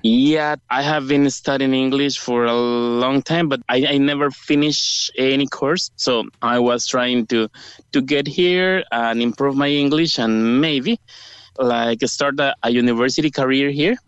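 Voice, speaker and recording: A male caller from El Salvador speaks on a slightly muffled phone line, in a smooth, clear, mid-pitched voice. He has a Salvadoran Spanish accent and gives earnest, conversational answers in halting English. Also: dry recording.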